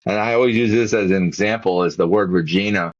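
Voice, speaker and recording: Podcast host, male, Boston accent, low voice, loose rambling delivery; dry, dull recording. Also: fast, no room sound. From Massachusetts, USA. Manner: rambling, many restarts and repeated words. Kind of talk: casual interview chat.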